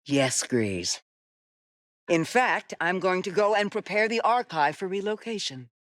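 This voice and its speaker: Wise, resolute female Jedi mentor for a sci-fi game: low, measured, recorded very dry. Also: animated, no room sound. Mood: resolved, uplifted. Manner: measured, deliberate, earnest delivery. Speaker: video game voice actress. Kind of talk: cinematic game dialogue acting.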